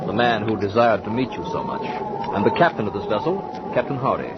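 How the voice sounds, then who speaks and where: An actor playing a secretive, unsettling husband who speaks with cool, smooth formality in a 1940s radio drama. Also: male voice, lowish voice, fairly quick, over a noisy crowd, lightly acted. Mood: coolly menacing. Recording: dull noisy old radio recording.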